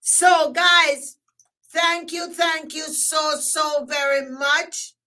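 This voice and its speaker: A woman with a Jamaican accent hosting a live stream, talking loudly and emphatically and repeating herself as she signs off. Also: high-pitched, level tone, drawn-out words. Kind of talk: casual live stream sign-off.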